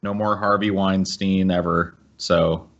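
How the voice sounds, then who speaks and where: A man's voice: sits low, fairly even intonation, measured pace, a little hiss or hum. A man hosting a podcast with casual, opinionated banter, blunt and full of swearing. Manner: blunt, profane. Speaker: podcast host. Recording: slightly dull podcast audio.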